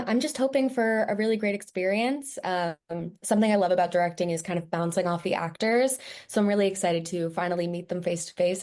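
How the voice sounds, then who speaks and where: A woman's voice: fairly low pitch, a little mellow. A woman answering casually and enthusiastically as an interview guest.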